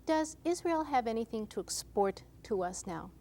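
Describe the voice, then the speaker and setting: A woman's voice: fairly high pitch, lively intonation, moderate pace, mellow. A woman from Chicago hosting a television interview, attentive and often murmuring agreement. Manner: attentive, frequent affirmations. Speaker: interview host. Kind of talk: interview conversation.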